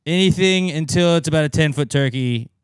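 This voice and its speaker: Clear-voiced man bantering on a podcast. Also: fairly high pitch, fairly quick, dry recording. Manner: slangy, swearing. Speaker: podcast co-host. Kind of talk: casual comedic banter.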